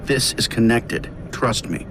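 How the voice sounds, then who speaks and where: An actor playing a cocky, wisecracking armored superhero in a video game, glib, sardonic and self-assured. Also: male voice, mid-range voice, slow pace, close to an everyday voice. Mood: glib, confident. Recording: game music and engine noise behind.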